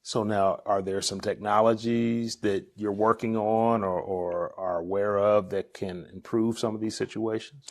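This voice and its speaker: A man with a deep, low-pitched voice hosts a casual interview. He sounds conversational as he puts his questions, and he follows attentively with short murmured acknowledgements. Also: flat delivery, a little slow, few pauses. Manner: attentive, frequent short backchannels. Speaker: interviewer, podcast host.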